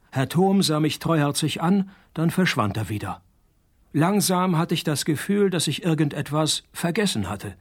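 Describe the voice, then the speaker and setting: An actor playing a wry, world-weary private detective, narrating and sparring in dry, laconic deadpan in a radio crime parody. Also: male voice, a little high, breathy edge. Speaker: lead character and narrator.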